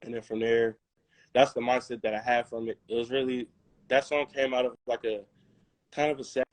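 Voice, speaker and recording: A young man talks through his story as a guest in a relaxed interview, stumbling over restarts and fillers as he goes. His voice sits at an ordinary middle pitch. The recording is close and dry, with no room sound. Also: dry recording, poor audio. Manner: halting, many restarts and fillers. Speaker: musician guest. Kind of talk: casual interview talk.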